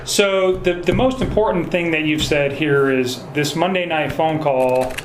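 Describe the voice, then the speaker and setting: A man speaking as a police investigator in a phone interview, choosing his words in a hesitant, measured and polite way. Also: fairly high pitch. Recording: echoey recorded phone call with faint noise.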